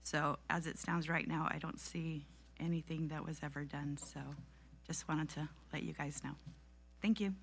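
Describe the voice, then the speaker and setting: A woman's voice: deep voice, steady tone, normal speed, gravelly, a bit bright, slight background noise. A woman making a public comment to a city council as a member of the public.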